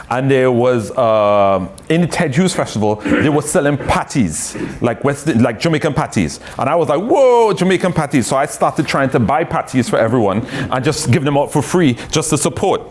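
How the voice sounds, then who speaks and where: A poet from Trinidad with a Trinidadian accent, chatting loosely with many tag phrases and reading his own poetry. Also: medium pitch.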